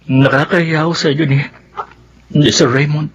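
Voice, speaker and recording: An apologetic young son, respectful, husky, slightly muffled radio drama sound. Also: male voice, a little high, fairly even intonation, moderate pace. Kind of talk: Filipino radio drama acting.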